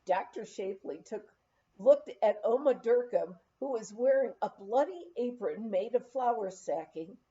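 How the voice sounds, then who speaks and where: A woman's voice: a little high, expressive, measured pace, mellow. A woman reading a memoir aloud as scripted storytelling narration, occasionally stumbling over words, on a slightly muffled recording. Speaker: audiobook reader.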